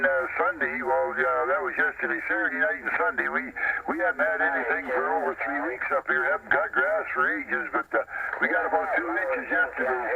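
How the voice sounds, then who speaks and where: A man's voice: a little high, gravelly. A man from Ontario, Canada, chatting casually as a CB radio operator, with easygoing, folksy, hesitant phrasing. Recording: very noisy, static-laden CB radio reception.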